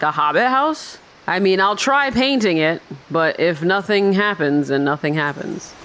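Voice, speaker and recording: A woman giving casual, chatty let's-play commentary on a video game, thinking out loud as she goes. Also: mid-range voice, poor audio. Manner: rambling, thinking aloud, chatty. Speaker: gamer narrating her own playthrough.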